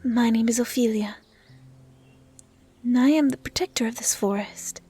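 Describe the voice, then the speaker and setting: A woman playing a gentle dryad who protects a forest, speaking in soft, hushed, halting tones in an intimate roleplay audio drama. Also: on the high side, husky. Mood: gentle, curious.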